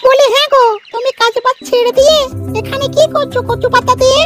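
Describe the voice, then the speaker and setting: Squeaky, very high cartoon village wife. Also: female voice, steady tone, quick pace, music in the background. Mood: brisk. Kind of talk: Bengali children's cartoon dialogue.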